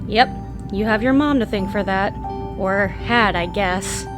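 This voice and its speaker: A woman's voice: medium pitch, a little slow, over music, natural voice. A woman voicing a guarded, sardonic young woman in a visual novel, with dry, clipped, sarcastic delivery. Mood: curt, deflecting. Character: guarded, sardonic young woman, aloof cousin of a decaying estate. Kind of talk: fan-dubbed visual novel dialogue.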